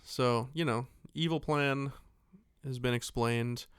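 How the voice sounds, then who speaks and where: A male podcast co-host with a clear, smooth voice at a medium pitch. He recaps films casually in a rambling, joking manner, recorded close and dry. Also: steady tone, takes it slowly, fairly bright tone, dry recording. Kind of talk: casual comic film recap.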